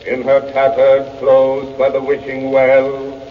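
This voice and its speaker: A man's voice: mid-range voice, monotone, phone-quality sound, close to an everyday voice. A man voicing a solemn magic mirror spirit, reciting like an oracle in 1930s radio drama style. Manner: slow, solemn, incantatory recitation. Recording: distant, hissy, band-limited 1930s radio.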